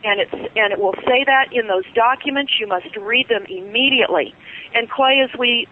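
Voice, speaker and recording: A woman's voice: on the high side, moderate pace, background noise. Urgent woman on a phone line. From California. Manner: urgent, insistent, many filler ums. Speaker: guest. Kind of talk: phone-in radio interview.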